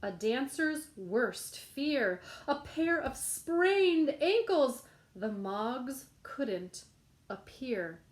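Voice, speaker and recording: A woman's voice: high voice, sing-song, very slow. A woman reading a rhyming children's picture book aloud in a playful, sing-song storytelling manner.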